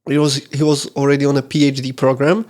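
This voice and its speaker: Male host; easygoing storyteller, halting phrasing, mid-range voice. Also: medium pitch. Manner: relaxed, storytelling, a little hesitant. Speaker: podcast host, coach. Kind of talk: casual conversational interview.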